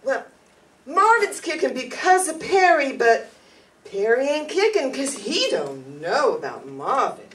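A woman acts a scheming belle for a noir radio show. Her voice is high and swoops with coy, sugary flirtation. It comes from a distance with a little noise around it. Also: big pitch swings, unhurried. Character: scheming flirtatious Southern blonde femme fatale. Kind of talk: 1950s noir radio acting. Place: staged reading in a community hall. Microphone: stand microphone, heard from a far camera. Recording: distant room mic, some noise.

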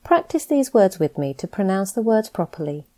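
A woman English teacher reading scripted pronunciation practice with deliberate, careful enunciation. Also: mid-range voice, very animated, warm tone. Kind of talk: scripted lesson narration, reading words for practice.

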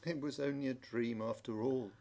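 A man voicing a kindly old shoemaker in a storybook, gentle and soft-spoken. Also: medium pitch, steady tone, moderate pace, creaky, natural voice. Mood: sad. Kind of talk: storybook dialogue reading.

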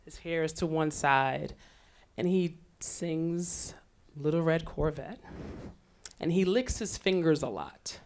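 A woman's voice: low voice, takes it slowly, slight background noise. A woman in her forties from Trinidad, moderating a panel discussion in a lecture hall with a handheld mic, in a chatty storytelling way. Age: 40s. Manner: chatty, storytelling, animated laughter. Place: lecture hall on a small stage.

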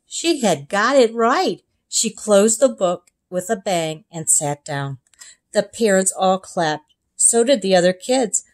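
A woman narrating a children's storybook as a kindergarten teacher, expressive, in clearly separated phrases. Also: mid-range voice, takes it slowly. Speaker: kindergarten teacher reading aloud. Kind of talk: storybook read-aloud narration.

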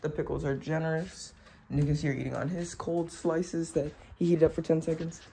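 A woman's voice: sits low, normal speed, vocal fry. Young woman, nasal, congested, casual family vlog, phone recording, noisy background. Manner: chatty, relaxed, talks to camera. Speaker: family vlogger. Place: car, restaurant and home. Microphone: handheld phone camera. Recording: phone video with background noise.

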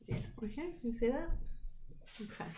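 A woman's voice: fairly high pitch, animated, moderate pace, roomy sound, crowd noise. A young woman speaking as a teacher, guiding a children's read-along with simple, encouraging prompts. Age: young adult.

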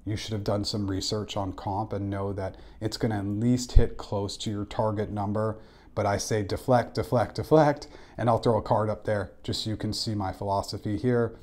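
A man's voice: fairly low pitch, fairly even intonation, moderate pace, a little mellow. A man in his forties giving instructional advice to camera as an interview coach, direct and emphatic on key points. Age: 40s. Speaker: interview coach and former recruiter. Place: small indoor room.

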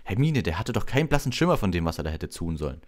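A man's voice: deep voice, big pitch swings, very fast, pitched above the performer's own voice. A man playing an exasperated young schoolboy in a storybook reading, sounding incredulous and annoyed.

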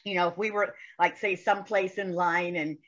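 A woman's voice: on the low side, flat delivery, moderate pace, fairly bright tone, lo-fi sound. A woman participant on a video call telling a personal story in a workshop, earnest and full of conviction. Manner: earnest, recites a poem with conviction.

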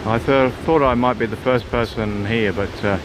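A man's voice: medium pitch, fairly even intonation, moderate pace, background noise. A man in his fifties with an Australian accent, chatting casually to his own camera with lots of "uh" fillers. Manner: rambling, many "uh" fillers, laid-back. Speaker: sailing vlogger. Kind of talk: casual self-filmed commentary. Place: outdoors on a windy beach and on a small sailboat. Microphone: camera mic, handheld or mounted.

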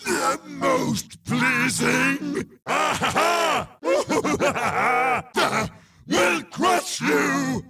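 A man's voice: very high-pitched, extremely slow, creaky, recorded from a distance, background noise, full character voice. A man voicing a hulking, crazed, boastful mutant monster in a video game, with bombastic, exaggerated barks. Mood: boastful, manic. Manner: shouted, cackling, bombastic.

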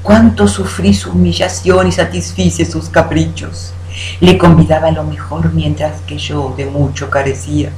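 A woman declaiming a poem in an expressive, deliberate manner with dramatic pauses. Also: sits low. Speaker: poetry reciter. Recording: roomy echo with background hum.

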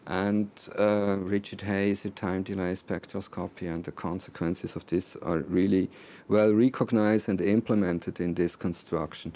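A man in his sixties presenting technical material to a small group, phrasing deliberately with repeated words and hesitations. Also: low voice, fairly even intonation, warm tone. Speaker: loudspeaker designer presenting. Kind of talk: technical talk to a small audience. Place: small recording studio control room with seated audience.

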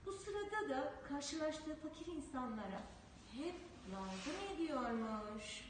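A woman's voice: high-pitched, far from the mic, background noise. Woman telling a children's tale. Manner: slow, expressive storyteller. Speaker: preschool teacher. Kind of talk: reading a folk tale aloud to children. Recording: very echoey room, voice off-mic.

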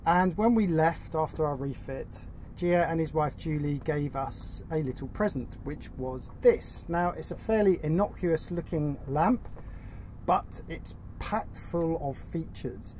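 A man's voice: fairly high pitch, a little slow, a little mellow. A middle-aged man talks keenly and casually about his favourite boat gadgets. He sits outdoors in a sailboat cockpit. A faint outdoor hiss sits under his voice. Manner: enthusiastic, chatty. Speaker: sailing vlog co-host. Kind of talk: casual chat presenting gear.

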